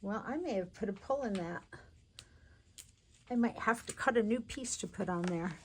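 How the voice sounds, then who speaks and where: A woman's voice: medium pitch, slight background noise. A woman showing off a handmade journal in casual show-and-tell narration, chatty and congested from allergies. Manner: chatty and unhurried, with many fillers and restarts.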